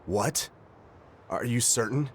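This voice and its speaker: An actor playing a dignified, sorrowful and forgiving brother in a video game, with a formal, composed way of talking. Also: male voice, mid-range voice, slow pace, a little hiss or hum, close to an everyday voice. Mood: taken aback. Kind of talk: English video game voice acting. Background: loud background music.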